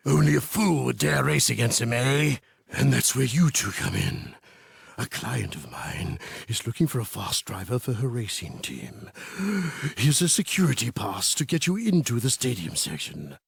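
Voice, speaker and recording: A man playing a greedy, sly older crime boss in a video game, wheezy and gravelly, with an oily, pompous delivery and a habitual "eh?". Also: medium pitch, animated, normal speed, no echo, exaggerated voice. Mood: salesman-like, bossy. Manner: oily, pompous, ends phrases with "eh?". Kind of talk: video game cutscene acting.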